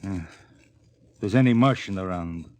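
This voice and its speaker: A man's voice: low voice, moderate pace, darkish tone, lightly acted. An actor playing a plainspoken, good-natured American spaceman with folksy, informal talk in 1950s radio drama style. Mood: earnest. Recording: faintly noisy old 1950s radio recording.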